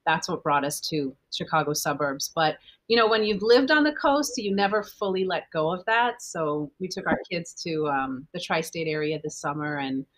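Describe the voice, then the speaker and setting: A woman's voice: sits low, lively intonation, moderate pace, fairly bright tone, poor audio. A woman from Guyana, a physician guest, telling chatty personal stories with fillers. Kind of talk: casual interview chat.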